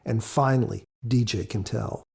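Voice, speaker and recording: Man, average pitch, soft dark tone, husky, earnest preacherly podcast narration, explanatory. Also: moderate pace. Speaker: author and co-host. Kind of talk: scripted podcast commentary and narration.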